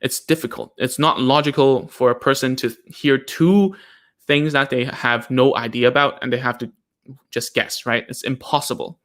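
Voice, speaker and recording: A man's voice: mid-range voice, moderate pace, fairly bright tone. A man, a native Cantonese speaker, giving a casual live lesson to parents and therapists, checking in with "okay?" and "right?" after almost every point.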